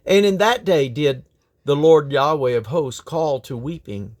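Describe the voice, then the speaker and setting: A man's voice: a little high, a little slow, darkish tone. A man reading scripture aloud in a solemn, declamatory manner. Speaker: Bible reader.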